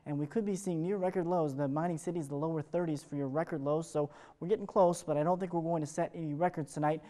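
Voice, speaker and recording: An American man delivers a television weather forecast at a brisk clip. His voice is fairly high for a man and softly mellow, and his delivery is chatty and upbeat, like an ad-libbed morning show segment. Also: steady tone.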